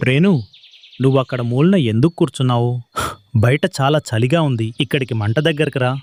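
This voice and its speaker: A man voicing a kind, patient young husband bird in a cartoon story, speaking in a gentle, reassuring way. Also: medium pitch, brisk, dry recording, close to an everyday voice. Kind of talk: cartoon moral story dialogue.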